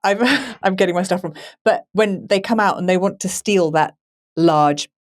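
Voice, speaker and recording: A woman's voice: mid-range voice, moderate pace. A woman chatting informally on a podcast, phrasing her thoughts loosely and conversationally. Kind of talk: casual discussion.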